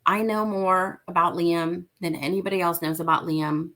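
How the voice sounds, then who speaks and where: A woman from Mexico with a somewhat low-pitched voice speaks as an interview guest. She talks about parenting earnestly and hammers home her points by repeating them, in a relaxed conversational way. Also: moderate pace.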